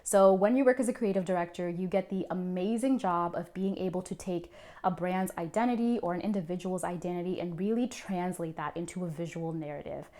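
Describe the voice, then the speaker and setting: A woman's voice: on the low side, a little fast, warm tone. A young woman from Zimbabwe who is a founder and creative director, telling her personal story in an earnest, reflective way with frequent ums. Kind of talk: personal story told to camera.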